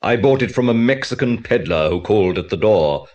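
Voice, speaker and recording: A man's voice: fairly low pitch, lively intonation, fairly quick, a little mellow, highs cut off, lightly acted. An older man performing in a 1980s audio play as a courteous, theatrical actor who speaks expansively. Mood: earnest, explaining. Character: theatrical older male actor who has lost his parrot.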